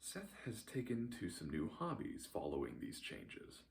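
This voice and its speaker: A man reading a scripted recap as a narrator, with a dry, deadpan delivery. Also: low voice, normal speed, gravelly.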